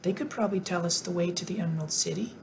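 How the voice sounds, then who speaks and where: A woman's voice: fairly low pitch, fast, vocal fry, a little hiss or hum, pitched above the performer's own voice. A woman reading aloud and voicing an earnest, hopeful young girl.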